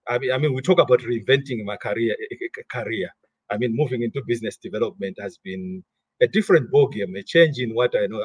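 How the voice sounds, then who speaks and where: Husky-voiced Malawian man, halting speech. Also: mid-range voice, no echo. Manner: repeats words often while thinking. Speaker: guest. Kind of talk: interview conversation.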